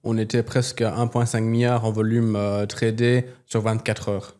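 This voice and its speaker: Mellow-voiced man speaking non-native French. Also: lowish voice, flat delivery. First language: not French. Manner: halting, searching for words. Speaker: company representative. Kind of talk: business interview.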